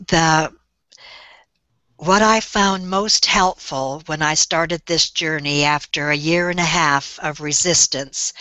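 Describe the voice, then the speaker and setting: An older woman speaking on a webinar call as a guest, telling her story in a gentle, reflective way. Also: sits low, no echo, rough recording. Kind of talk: slow, thoughtful storytelling.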